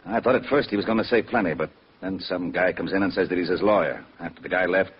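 An actor playing a weary, gruff police lieutenant with a husky voice and a blunt, exasperated manner in an old radio drama. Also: male voice, low-pitched, fast talker. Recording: muffled old radio broadcast.

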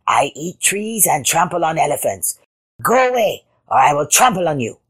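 A woman voicing a boastful, threatening bad animal in a folktale, in a booming chant. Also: big pitch swings, normal speed, breathy edge, exaggerated voice. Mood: menacing. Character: bad animal, menacing boastful bully hidden in a house.

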